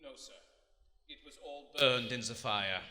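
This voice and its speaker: A man's voice: medium pitch, measured pace. A man acting a dutiful, strained submarine lieutenant, formal and deferential, in live radio theater. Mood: grim. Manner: deferential, formal military reporting. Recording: echoey theater hall, loud hiss.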